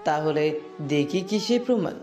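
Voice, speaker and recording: A man's voice: a little high, expressive, moderate pace, a little mellow, soft background music, close to an everyday voice. A man voicing a proud elder son, an ambitious young politician who talks defiantly. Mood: indignant. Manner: defiant, indignant. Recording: echoey room.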